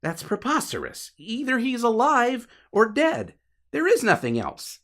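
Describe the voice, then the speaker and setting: A man playing an exasperated, incredulous guy, pitched up. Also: huge pitch swings, a little slow, a bit bright, full character voice. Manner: exasperated, questioning. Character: Jonah, panicky, incredulous young man arguing with the devil.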